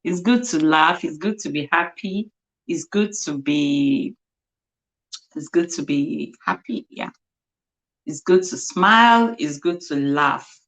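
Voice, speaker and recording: A young Nigerian woman with a lowish voice chats slowly and easily on a livestream. Igbo is her first language, and her English carries a clear Nigerian accent. She laughs often and repeats words over and over as she sounds them out. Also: a bit bright, poor audio. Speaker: livestream host. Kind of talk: casual livestream chat.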